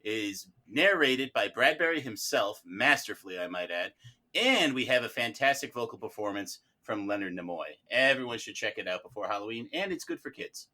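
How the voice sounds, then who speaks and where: A man's voice: medium pitch, lively intonation, a little fast, pushed and bright. A man on a podcast panel giving a prepared-sounding recommendation during casual chat.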